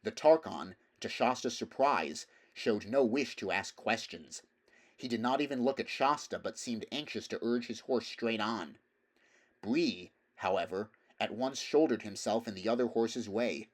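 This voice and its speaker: A man reading a story aloud as narrator, in a measured, expressive, dramatic style. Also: fairly low pitch. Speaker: audiobook reader.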